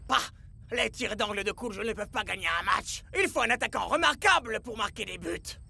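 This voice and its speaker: An actor playing a vain, touchy star striker in a French cartoon dub, haughty and sarcastic. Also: male voice, high-pitched, animated, a little fast, vocal fry, pushed and bright, slight background noise, heavily acted.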